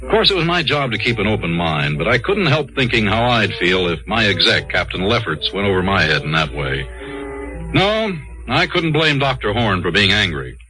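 An actor playing a stern, by-the-book army colonel with a clipped, formal delivery in a 1950s radio drama. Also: male voice, lowish voice, very animated, moderate pace, sharp tone, rough recording. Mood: dry, measured. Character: stern, by-the-book male army colonel narrating his account. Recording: old radio broadcast with music behind.

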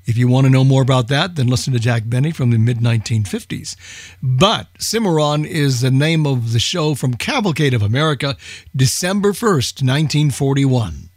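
Male radio host, casual introductions, mid-pitched voice in a dry studio sound. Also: lively intonation, moderate pace, no echo. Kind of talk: casual presenting of old programmes.

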